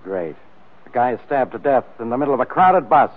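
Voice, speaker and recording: An actor playing a brusque, exasperated police inspector in a 1940s radio drama. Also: male voice, medium pitch, big pitch swings, fairly quick. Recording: tinny 1940s radio recording.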